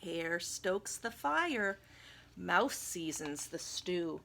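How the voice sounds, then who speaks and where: A woman reading a picture book aloud to children, expressively and dramatically, dropping into hushed tones and chatty asides. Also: on the low side, animated, very slow, vocal fry, a little hiss or hum. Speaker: volunteer children's story reader.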